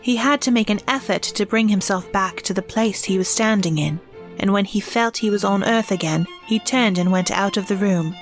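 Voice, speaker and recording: A woman narrating an audio drama in a measured, expressive way. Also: mid-range voice, faint music behind.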